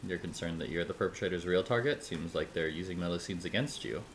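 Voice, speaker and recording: A man's voice: sits low, fast, slight background noise. A man casually commenting while playing a story game, with dry offhand asides. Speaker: streamer.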